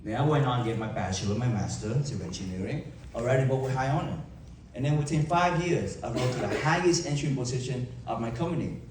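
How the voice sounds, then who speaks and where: A young man with a Vietnamese accent giving an emphatic motivational keynote to a live audience, with dramatic pauses and rhetorical questions. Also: medium pitch, background noise. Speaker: motivational speaker. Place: large event hall. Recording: echoey hall from far off.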